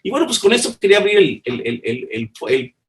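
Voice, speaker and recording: A man's voice: high voice, sing-song, fast talker. A man from Mexico, a panelist speaking earnestly in a conference talk, restarting phrases and explaining with everyday examples, heard over a video call.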